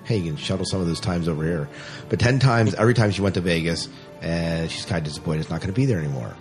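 A man hosting a podcast in casual chat, speaking in a conversational, slightly halting and repetitive way. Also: low-pitched, faint music behind. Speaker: podcast host.

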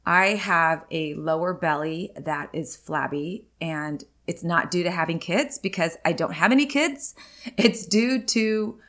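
Chatty woman in her forties, low voice. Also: a little slow, fairly bright tone. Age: 40s. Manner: chatty and candid, many ums and likes. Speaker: podcast host. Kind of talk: casual solo podcast chat.

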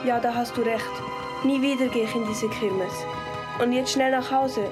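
Paula, a daring teenage girl, played by a schoolgirl, speaks in a high, bright voice with a Swiss German lilt. She comes across as curious and sure of herself. Music plays softly underneath. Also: steady tone, moderate pace, natural voice. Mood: reassuring. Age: teens. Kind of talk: student radio play.

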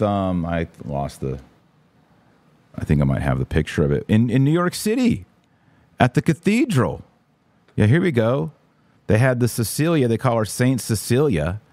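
A man's voice: lowish voice, a little mellow, no echo. A man hosting a podcast, giving casual, emphatic and opinionated livestream commentary with informal slang. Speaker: podcast host.

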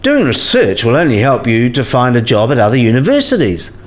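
A man playing a cynical, sidelined professor in a radio play, explaining things in a wry, didactic way with measured phrasing. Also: medium pitch, huge pitch swings. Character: cynical, sidelined male professor giving career advice.

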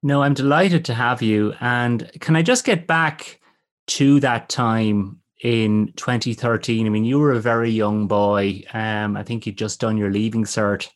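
Low-voiced courteous male podcast host. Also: steady tone, measured pace. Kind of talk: podcast intro and interview questions.

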